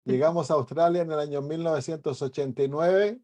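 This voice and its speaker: A middle-aged man with a Chilean accent, an evangelist pastor sharing a personal testimony in a conversational storytelling way, with hesitant pauses. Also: on the high side, warm tone.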